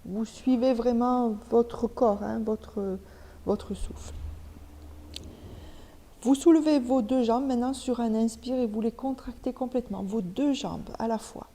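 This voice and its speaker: A woman leading a guided relaxation as a yoga teacher, giving step-by-step instructions with pauses between them. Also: on the high side, warm tone. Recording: long reverberant hall echo.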